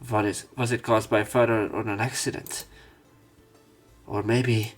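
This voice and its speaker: Male let's play narrator, lower register, dramatized story reading with music underneath. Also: little pitch movement, a little slow. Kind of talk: reading visual novel script aloud with commentary. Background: background music.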